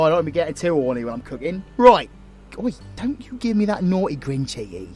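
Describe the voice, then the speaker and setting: A man plays a British super villain with a soft spot, in a comfort roleplay. His fairly high voice swings widely in pitch as he teases, flirts and swears casually. Faint music plays behind him. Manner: slangy, teasing, affectionate, swears casually. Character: cheeky, flirtatious, protective British male super villain, caring partner. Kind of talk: comfort audio roleplay, intimate and teasing.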